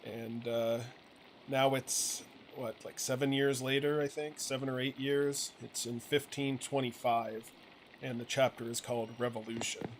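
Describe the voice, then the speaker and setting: A man's voice: mid-range voice, steady tone, a little slow, a little hiss or hum, low-quality recording. A man hosting a gaming video, giving a casual, hesitant story recap and thinking aloud as he goes. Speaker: gaming channel host. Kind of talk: casual, rambling story recap over gameplay.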